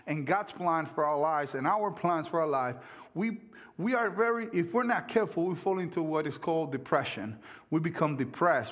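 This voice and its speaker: An earnest male pastor in his thirties whose first language is Spanish delivers a sermon with a slightly raised pitch. He circles back over his phrases and slips into the doubting inner voices of his congregation.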